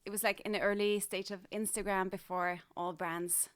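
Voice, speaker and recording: A woman's voice: mid-range voice, little pitch movement, normal speed, clean tone, dry recording, clean studio sound. A middle-aged woman from Denmark talking candidly and reflectively as a guest in a casual interview. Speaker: interview guest, fashion designer.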